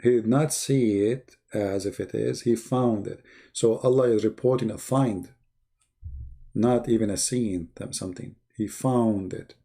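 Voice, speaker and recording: Husky, gravelly man rambling on a live stream. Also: fairly low pitch, a little slow, dark tone. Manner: teasing, sarcastic, repeats phrases. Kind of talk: rambling religious debate live stream chat.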